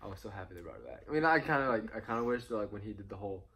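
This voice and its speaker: A young man hosting a casual movie review chat, talking excitedly and acting out lines from the film. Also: fairly low pitch, racing, creaky. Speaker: host.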